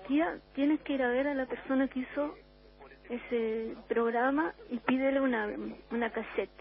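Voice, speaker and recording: An older woman from Uruguay with a Chilean accent, a musician guest on a radio interview, talking in a reflective, unhurried way with many restarts. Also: fairly high pitch, highs cut off.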